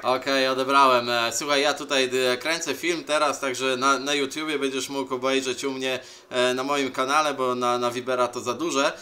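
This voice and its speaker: Bright-voiced man in halting radio chat. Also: mid-range voice, steady tone, slight background noise. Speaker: amateur radio operator. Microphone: handheld camera beside the radio set.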